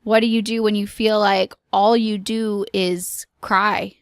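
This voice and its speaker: Woman podcast host, mid pitch, unhurried, sincere and encouraging, dry close mic. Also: level tone, clear, resonant tone, dry recording. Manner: earnest, encouraging, slow with pauses and filler ums. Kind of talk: solo podcast monologue.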